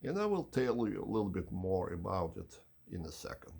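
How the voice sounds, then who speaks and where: A man hosting an unhurried explanatory video tour in measured, slightly formal phrasing, with a husky, gravelly voice. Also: low voice, sing-song, a little mellow. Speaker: channel host giving an audio system tour.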